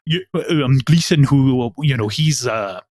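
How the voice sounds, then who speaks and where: A man producing an audio drama, talking enthusiastically and comparing the show to other works. His voice sits at a middle pitch. He speaks in casual podcast chat. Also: measured pace, a little mellow. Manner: enthusiastic, chatty, full of pop-culture comparisons. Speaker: producer and voice actor.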